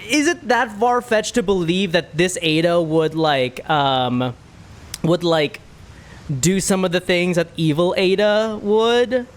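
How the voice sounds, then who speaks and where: A streamer giving casual, excitable live gaming commentary, chatting to viewers and often repeating words and phrases. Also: mid-range voice, slow pace. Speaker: streamer playing a game for a live chat.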